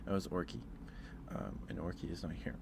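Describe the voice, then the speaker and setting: A soft-spoken man chatting casually as an ASMR livestream host, in a hesitant, rambling way. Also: low voice, animated, fairly quick, vocal fry, noisy background. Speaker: live streamer and host.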